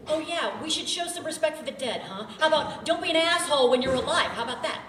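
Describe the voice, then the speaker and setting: A woman playing a brash, foul-mouthed street interviewee, loud and exclamatory, at a live table read. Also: high voice, expressive, fast talker, a crowd in the background. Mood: indignant. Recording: echoey room, distant mic, faint noise.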